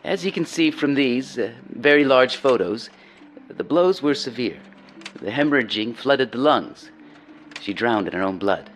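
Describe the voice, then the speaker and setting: An actor playing a grave, deliberate 1950s prosecutor in a true-crime dramatization. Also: male voice, medium pitch, expressive, a little hiss or hum, close to an everyday voice, low-quality recording. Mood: grim, measured. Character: grave, matter-of-fact male prosecutor in a 1950s courtroom.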